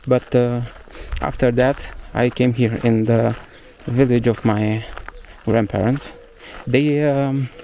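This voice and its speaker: A young man speaking English with a Romanian accent, his first language being Romanian. His voice is mellow and relaxed, and he rambles with frequent fillers and self-corrections. Faint outdoor noise and birdsong sit behind him. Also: mid-range voice. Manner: chatty, hesitant, searching for English words. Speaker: travel vlogger. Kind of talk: casual walking vlog narration. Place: outdoors in a village.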